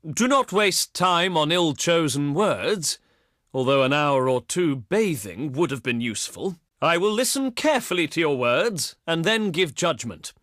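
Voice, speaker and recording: Ancient Greek diplomat, pompous, eloquent, slow and measured, higher-pitched male voice, theatrical game delivery. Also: sing-song, fairly bright tone, no echo, clean studio sound. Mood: impatient, condescending. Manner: pompous, declamatory, measured oratory. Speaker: video game voice actor. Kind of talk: theatrical scripted game voice lines.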